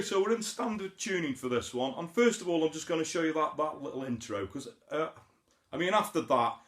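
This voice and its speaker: A man giving a casual, chatty guitar lesson, explaining things informally step by step. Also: lowish voice. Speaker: guitar teacher, host of an online lesson series. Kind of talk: casual spoken guitar tutorial. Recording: roomy sound, guitar behind the voice. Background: acoustic guitar.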